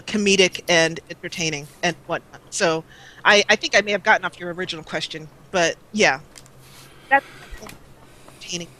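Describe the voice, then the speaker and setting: A woman guest on a live call-in interview, talking about her gaming videos in an animated, rambling way with frequent laughter. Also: medium pitch, rough recording. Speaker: guest, let's player. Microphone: call-in line.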